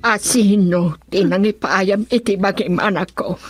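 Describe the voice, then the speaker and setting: A woman's voice: medium pitch, steady tone, measured pace, soft background music, close to an everyday voice. A woman playing a distraught mother in a Filipino radio drama, anguished and wailing, with a Filipino accent. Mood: hurt, accusing. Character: anguished, shocked mother confronting her daughter.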